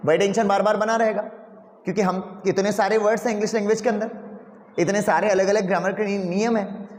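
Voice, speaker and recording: A man's voice: high-pitched, fairly even intonation, a little fast, a little mellow, reverberant, long reverb tail. A male English teacher, lecturing a class and asking them rhetorical questions.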